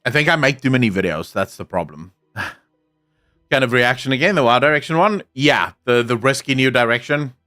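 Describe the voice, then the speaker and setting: South african guy streaming, soft accent, afrikaans first language, chatty and blunt, swears a bit, faint music behind. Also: mid-range voice, animated, moderate pace. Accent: soft South African English. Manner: candid, swears casually, answers chat. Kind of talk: casual live-stream chat, reading comments.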